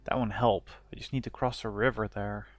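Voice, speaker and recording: A young man with a slightly rough voice giving rambling, casual commentary while playing a game. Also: fairly low pitch, steady tone, a little fast, dry recording. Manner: rambling, self-correcting. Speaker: let's play host.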